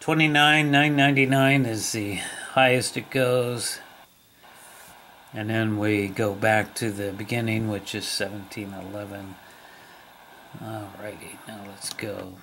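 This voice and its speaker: A man giving a casual, unhurried, plain-spoken hands-on demonstration as a radio hobbyist. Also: lowish voice, fairly even intonation. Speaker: shortwave radio hobbyist presenter. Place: indoors at a wooden table. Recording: loud radio static and hiss. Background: shortwave radio static, tones and ticking.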